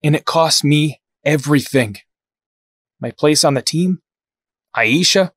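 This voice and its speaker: A man's voice: on the high side, measured pace, fairly bright tone, no echo, clean studio sound. A man acting in an audio drama as a sincere, remorseful young team fighter, speaking earnestly.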